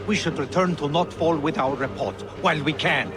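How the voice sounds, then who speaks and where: Man as uneasy higher-voiced Viking spy. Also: moderate pace, very noisy, natural voice. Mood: uneasy, cautious. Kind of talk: dramatic video game acting.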